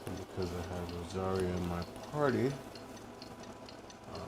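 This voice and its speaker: A man's voice: sits low, moderate pace, noisy background. A laid-back, wry man giving casual commentary while streaming a video game. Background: video game music.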